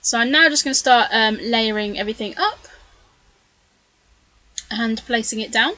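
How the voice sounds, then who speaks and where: A woman talks through her scrapbooking project in a loose, chatty voice-over, stopping often for ums and side comments. Her voice is bright and a touch high, and a little worn, as if she is losing it. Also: normal speed, no room sound. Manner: chatty, rambling, many ums and self-corrections. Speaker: scrapbooking video creator. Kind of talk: casual voice-over narration of a craft process.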